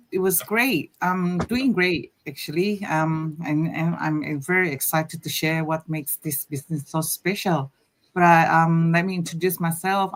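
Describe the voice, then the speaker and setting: A woman from Indonesia in her fifties, a guest on a live panel, speaking hesitantly with many pauses and "um"s. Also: on the low side. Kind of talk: casual panel chat.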